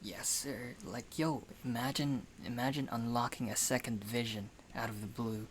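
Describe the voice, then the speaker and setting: Very low-voiced woman, casual gamer. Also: fairly even intonation, moderate pace, breathy edge. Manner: slangy, offhand. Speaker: gamer reading dialogue and commenting. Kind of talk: casual game playthrough commentary. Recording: game music behind the voice.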